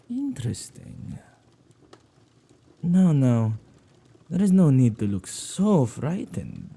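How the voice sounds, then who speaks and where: A possessive, playful male witch, played by a man for an ASMR roleplay. The voice is very dark and mellow, with a gravelly creak and a husky edge. He muses and teases in a theatrical way. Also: medium pitch, exaggerated voice. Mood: amused, intrigued. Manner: teasing, theatrical, leisurely musing.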